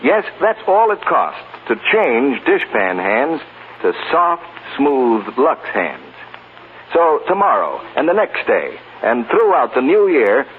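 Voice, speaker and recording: Animated American radio announcer, tinny vintage sound. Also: male voice, medium pitch. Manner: polished, emphatic salesman delivery. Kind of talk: scripted 1940s radio advertising announcement. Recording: tinny, faintly noisy 1940s radio recording.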